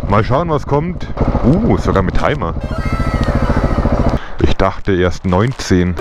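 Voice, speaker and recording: A man's voice: deep voice, very animated, slow, loud music behind the voice. A man from Bavaria chatting casually while riding his motorcycle, rambling and correcting himself as he goes. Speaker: motovlogger. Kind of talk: casual motorcycle vlog chat. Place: on a motorcycle on country roads, outdoors. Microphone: helmet-mounted action camera mic. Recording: loud wind and engine noise. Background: loud wind and engine noise.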